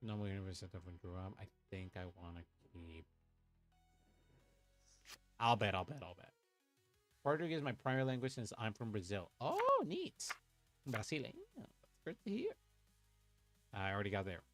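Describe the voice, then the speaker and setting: A man's voice: on the low side, big pitch swings, normal speed, long pauses, fairly bright tone, faint music behind. A young man from Puerto Rico, chatting casually on a livestream while he plays a card game, thinking aloud, swearing and muttering to himself. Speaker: streamer playing an online card game. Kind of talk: casual livestream chat and play commentary.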